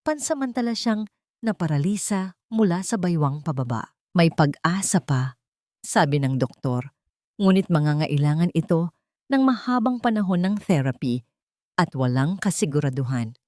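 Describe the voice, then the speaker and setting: A woman's voice: on the low side, expressive, moderate pace, a little mellow, no room sound, studio quality. A woman with a Filipino accent narrating a scripted love story in a dramatic storytelling style.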